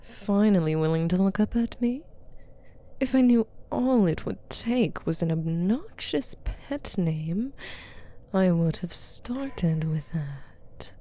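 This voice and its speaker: Slow, stern, teasing demon woman, acted by a woman. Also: medium pitch, expressive, dark tone. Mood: mocking, admiring. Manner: authoritative, teasing, drawn-out, often dropping to breathy murmurs. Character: stern, taunting female demon captor, possessive and amused. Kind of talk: ASMR roleplay monologue to the listener.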